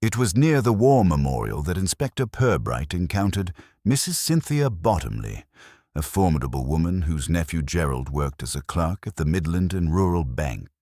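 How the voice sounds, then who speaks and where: A man narrates a scripted mystery in a measured, literary way. His voice is exceptionally deep, yet it moves with animated intonation. The sound is dry, with very little room around it. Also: no room sound. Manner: measured, formal, literary delivery.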